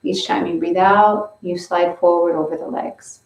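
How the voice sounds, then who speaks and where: A woman leading a guided breathing and stretching session, giving slow, gentle step-by-step instructions. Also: fairly low pitch, steady tone, far from the mic. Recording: roomy, from away from the mic.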